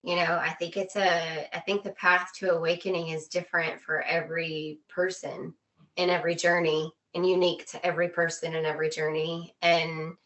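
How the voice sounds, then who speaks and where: A woman's voice: on the low side, level tone, normal speed, a bit bright, low-quality recording. A woman in casual conversation as a podcast guest, reflective and often rephrasing her points.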